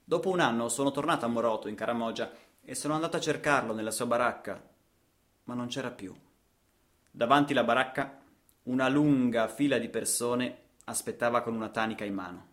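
A man's voice: medium pitch, fairly quick, natural voice. An actor playing an impassioned male traveller in a radio theatre monologue, telling his journey theatrically with insistent repetition. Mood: sombre. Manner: theatrical, insistent repetitions, swings from hushed to shouting. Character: impassioned male traveller recounting a trip across the savanna. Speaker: actor, storyteller.

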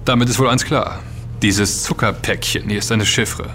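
An actor playing a young student hacker in an audio drama, casual and curious, often musing aloud. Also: male voice, fairly low pitch, normal speed, a bit bright, soft background music, natural voice. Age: young adult. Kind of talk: German audio drama dialogue.

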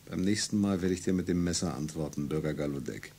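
An actor playing a defiant, quietly menacing servant turned revolutionary in a German radio drama. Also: male voice, sits low, fast, gravelly, heavy background noise.

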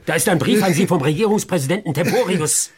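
An actor playing a deferential, formal assistant to a mayor in a German radio drama. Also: male voice, sits high, quick pace, slightly rough. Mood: agitated.

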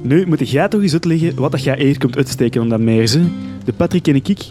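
A man's voice: mid-range voice, a little fast, over music, close to an everyday voice. An actor with a Flemish accent playing a folksy, chummy village fisherman in a radio drama.

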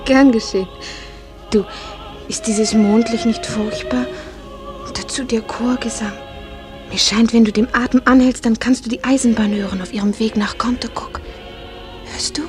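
A woman's voice: a little high, steady tone, moderate pace, pauses often, music in the background, lightly acted. An actress playing a dreamy, studious small-town girl in a radio drama. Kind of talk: German stage-play radio drama acting.

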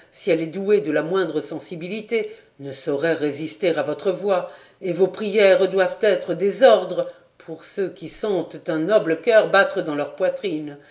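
A woman's voice: on the low side, a little mellow, lightly acted. A woman voicing an earnest, resolute young nobleman who declares his feelings in a storybook reading.

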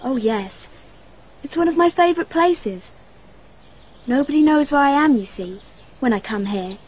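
A woman's voice: sits high, animated, moderate pace. An actress playing a precocious, dreamy twelve-year-old girl who speaks in an earnest, matter-of-fact and slightly odd way. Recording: dull lo-fi archive radio, faint hiss.

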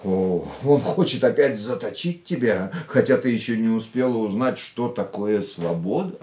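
A man's voice: lowish voice, expressive, normal speed, dark tone, close to an everyday voice. An actor playing a reserved, philosophical man in a radio drama, speaking in a restrained, reflective way. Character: worldly male lover, reserved and philosophical. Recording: roomy radio play with music.

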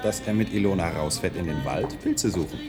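A man's voice: deep voice, brisk, over loud music, lightly acted. A man playing a placid, evasive husband in a radio play, with a dry, reassuring way of talking. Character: placid, evasive husband making domestic small talk. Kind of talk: German radio play acting.

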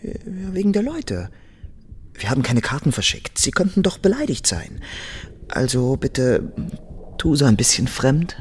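An actor playing an anxious, placating young newlywed husband in a radio play, eager, excitable and hesitant. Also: male voice, medium pitch, a little slow, a little hiss or hum. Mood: anxious, pleading.

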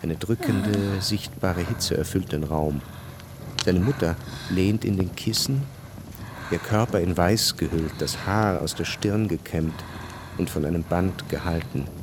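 A man giving sober, measured scripted narration for a radio drama. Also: deep voice, noisy background.

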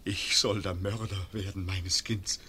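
A proud marksman father in an old radio drama, pleading with a ruler. His lowish, husky voice is slow and earnest. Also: level tone, pushed and bright, exaggerated voice. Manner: earnest, humble, anguished. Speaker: actor in radio play. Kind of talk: classic radio drama acting.

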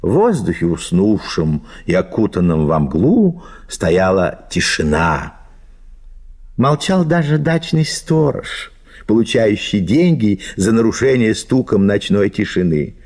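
A man reading a literary short story as an expressive, theatrical narrator. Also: lowish voice, slow. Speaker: narrator of an audio play.

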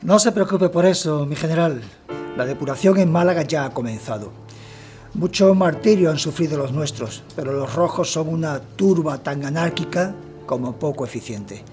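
A man's voice: fairly high pitch, lightly acted. An actor playing a fawning, boastful male officer in a radio drama. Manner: deferential, boastful. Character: fawning male officer, loyal to his general.

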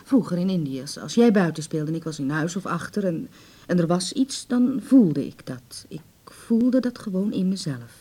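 A devoted middle-aged mother in a radio drama. Her voice is lowish and soft-toned, with a breathy, husky edge. She speaks hesitantly and pleadingly, full of worry for her grown child. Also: expressive, moderate pace. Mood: earnest, tender. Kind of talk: naturalistic Dutch radio play acting.

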